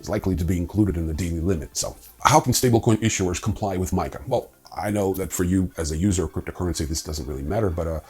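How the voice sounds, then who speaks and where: A man hosting a crypto news explainer, reading scripted material with informal, conversational asides in a husky voice. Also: low voice, quick pace, hardly any pauses, darkish tone. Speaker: host of a crypto news video.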